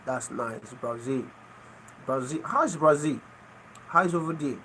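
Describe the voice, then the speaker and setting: A man's voice: fairly high pitch, unhurried, fairly bright tone, rough recording. A Nigerian man with an Igbo first language talks casually to his viewers on a livestream. He repeats each phrase and keeps asking people to explain what they mean. A faint air-conditioner hum sits behind him. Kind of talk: casual live chat.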